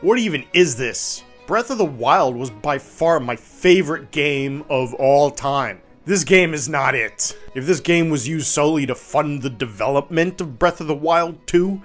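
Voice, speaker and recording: A man's voice: on the high side, lively intonation, unhurried. A man narrating in a theatrical, exaggerated way, giving a dramatic reading of user reviews. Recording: music behind the voice. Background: background music.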